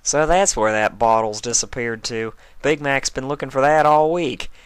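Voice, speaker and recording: A frank country mare from a cartoon fan story, acted out by a man. The delivery is folksy and steady, pitched above a low natural register and recorded dry. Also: normal speed, clean tone, dry recording, natural voice. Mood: indignant. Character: folksy, frank, reassuring female farm pony.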